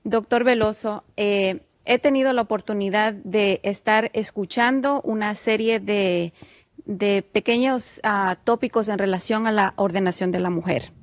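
A woman's voice: medium pitch, unhurried, no room sound. Formal woman hosting a studio interview. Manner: formal, courteous, with some hesitations. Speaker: TV host. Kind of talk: hosted interview. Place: television studio.

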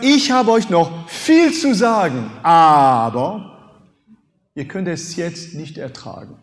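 A man in his fifties, a native French speaker, preaching a sermon with animated rhetorical questions and emphatic swings in loudness. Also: sits high. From France. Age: 50s. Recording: long hall reverb.

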